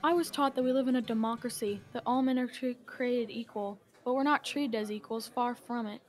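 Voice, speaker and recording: Woman playing an earnest, high-voiced young protester. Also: fairly even intonation, brisk, darkish tone, soft background music, close to an everyday voice. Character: earnest, resolute male college student protester. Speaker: student actor in a class radio drama. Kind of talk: amateur radio drama reading.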